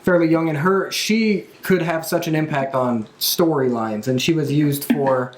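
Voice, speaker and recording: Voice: medium pitch, a little slow, a little hiss or hum. A podcast host chatting casually and enthusiastically about pro wrestling with co-hosts. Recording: roomy, echoing room sound.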